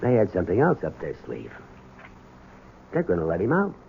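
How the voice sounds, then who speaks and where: Through a tinny, noisy old radio recording comes an embittered American working man. He is gruff and blunt, and his pitch moves around a lot in radio drama style. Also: wildly swooping pitch, fairly quick, heavily acted. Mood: bitter, subdued. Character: embittered working-class gas station owner, resentful son. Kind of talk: 1970s radio drama acting.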